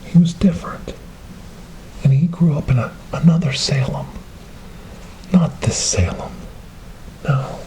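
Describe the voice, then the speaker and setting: A man playing a soothing, eerie asylum helper in a horror ASMR roleplay, coaxing a tormented creature with hushed, repetitive phrases. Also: a little high, measured pace, long pauses, poor audio. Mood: somber storytelling.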